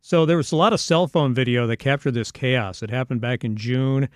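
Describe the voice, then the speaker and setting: A man's voice: mid-range voice, a little fast, dry recording. A man co-hosting a radio show, mixing news reading with casual commentary, close on a studio microphone. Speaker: radio co-host and news reader.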